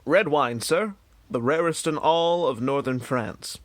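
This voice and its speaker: An actor playing a worried, deferential confidant in a period audio drama. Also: male voice, fairly high pitch, unhurried, no echo, close to an everyday voice. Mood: anxious.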